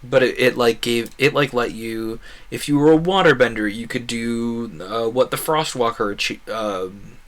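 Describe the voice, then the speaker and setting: A young adult man gives loose, casual gameplay commentary in a mid-pitched voice. He talks in an animated, rambling way and slips into quoted back-and-forth as if chatting with friends. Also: moderate pace, few pauses. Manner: animated, acts out quoted conversations. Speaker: video game streamer.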